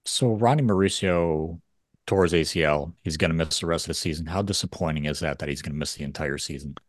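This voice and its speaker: A man's voice: extremely low pitch, fast. A man asking questions conversationally as a podcast interviewer.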